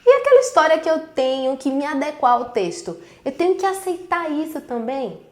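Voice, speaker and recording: A teacher voicing an indignant young student. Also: female voice, sits high, sing-song, a little fast, slight background noise. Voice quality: pleading, questioning. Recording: echoey room.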